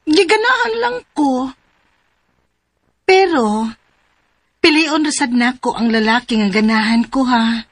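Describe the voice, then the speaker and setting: A high-voiced, playful young Cebuano woman. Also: expressive, slow pace, long pauses, heavily acted. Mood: teasing, amused. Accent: Filipino, Cebuano. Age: young adult. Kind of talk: radio drama dialogue.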